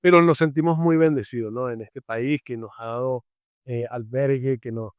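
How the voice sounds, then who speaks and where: A man's voice: medium pitch, steady tone, normal speed, clean tone, dry recording, clean studio sound. Venezuelan man hosting a faith podcast. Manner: warm welcoming, many fillers and restarts. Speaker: podcast co-host.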